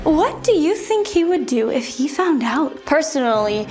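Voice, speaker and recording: A woman's voice: sits high, very animated, normal speed. An actress playing a spoiled, spiteful young noblewoman in an audio drama, taunting with mock sweetness. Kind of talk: dramatised audio series acting. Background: music.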